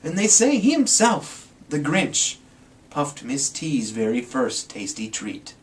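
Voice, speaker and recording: Animated man storytelling, distant from the mic. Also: fairly high pitch, takes it slowly. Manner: theatrical, playful, emphatic. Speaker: amateur storyteller. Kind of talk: dramatic storybook reading in rhyme. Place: indoors, in front of a green screen. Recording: distant mic, faint room noise.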